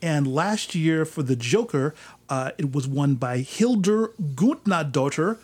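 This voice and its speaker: A man explaining in a relaxed conversational way, posing questions to himself and then answering them. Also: on the high side, measured pace.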